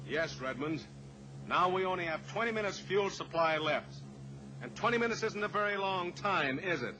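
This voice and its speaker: A steely cowboy pilot hero in a vintage radio play. He speaks calmly, with a slightly raised pitch and measured taunts. Audible hiss from the old recording runs underneath. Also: low-quality recording. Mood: coolly taunting. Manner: calm, coolly taunting. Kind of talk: 1950s radio drama acting.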